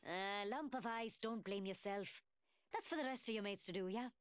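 A woman's voice: medium pitch, normal speed, clear, resonant tone, close to an everyday voice. An actress voicing a cocky, mocking young woman gunsmith in a video game, with a British working-class London accent and a cheeky, sarcastic manner. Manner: taunting, slangy, sarcastic. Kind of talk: video game character voice lines.

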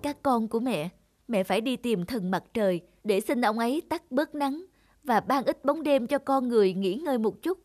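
A woman's voice: on the high side, lively intonation, normal speed, a little mellow, dry recording, clean studio sound, soft background music. A woman playing a selfless, worn-out peasant mother in a Vietnamese cartoon fairy tale, pleading and tender. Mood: tender, resolved.

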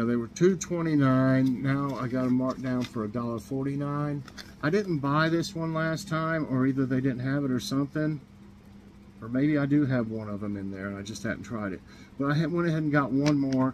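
A man's voice: medium pitch, a little hiss or hum, poor audio. A man in his fifties chatting casually to camera in a small kitchen, rambling with many ums and restarts as he shows off grocery buys. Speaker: vlogger showing a grocery haul.

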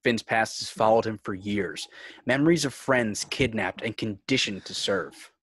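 A man narrating stage directions for a scripted fan table read in a measured, clipped way. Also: on the low side, a bit bright, slightly rough, no echo.

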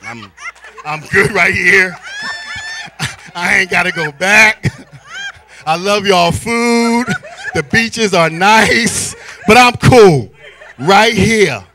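A middle-aged American man preaching a sermon with theatrical, drawn-out emphasis, telling stories and addressing his congregation through a handheld microphone. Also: high-pitched, crowd noise. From born in Spain, American military family. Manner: animated, theatrical, drawn-out emphasis, swinging from quiet asides to shouts. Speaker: church elder preaching. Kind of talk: sermon with storytelling and call-and-response to congregation. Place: large church hall, indoors.

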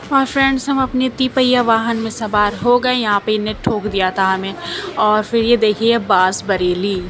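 A woman's voice: mid-range voice, steady tone, moderate pace. A young woman giving a light, joking voice-over narration for her shopping vlog. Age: young adult. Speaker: vlogger. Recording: narration with music playing under it.

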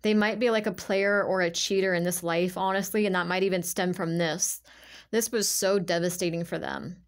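A woman speaking as a tarot reader in an informal, intuitive monologue, halting and emphatic, circling back to repeat herself and often saying "like". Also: on the low side, steady tone. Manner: halting, repetitive, emphatic, filler-heavy with "like".

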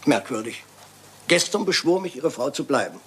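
A man's voice: medium pitch, expressive, moderate pace, a bit bright, lightly acted. A man playing a shrewd, courteous detective with a dryly ironic, probing manner in a German radio crime drama. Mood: dryly superior. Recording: hissy, noisy old radio play recording.

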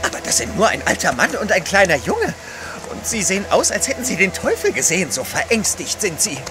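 Nervous put-upon servant, high voice, swoops all over, radio play. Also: male voice, wildly swooping pitch, fairly quick, music in the background. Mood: frightened. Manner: flustered, nervous. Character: put-upon, timid, excitable male servant. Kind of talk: German radio play acting.

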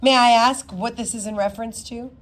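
Lady doing a school receptionist lady in a storybook, formal n polite, highish voice. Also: steady tone, a little fast, close to an everyday voice. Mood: guarded. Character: guarded, then respectful female school receptionist.

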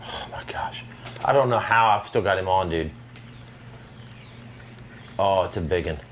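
A man's voice: sits low, a little slow, a little hiss or hum. A man playing a video game and commentating casually, in an exasperated, self-deprecating, slangy way. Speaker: video game player and channel host.

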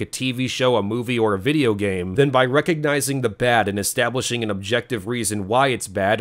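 Man reading scripted narration, medium pitch. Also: fairly bright tone. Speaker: video essayist.

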